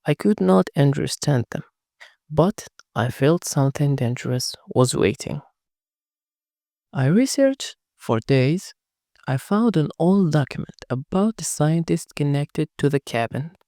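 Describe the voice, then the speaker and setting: A narrator telling a first-person horror story in a hushed, dramatic scripted reading. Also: medium pitch, animated, a little slow, no room sound, studio quality.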